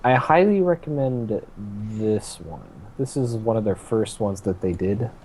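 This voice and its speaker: A man's voice: lowish voice, animated, unhurried, darkish tone, slight background noise, low-quality recording. A man co-hosting a livestream, chatting and joking in a casual, upbeat way. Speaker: co-host on the stream. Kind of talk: casual chat.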